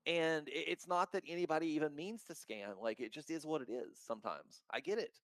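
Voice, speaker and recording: A man who founded a crypto token, talking in a casual podcast chat, outspoken and blunt with a loud voice and free swearing. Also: a little high, fairly quick, no room sound.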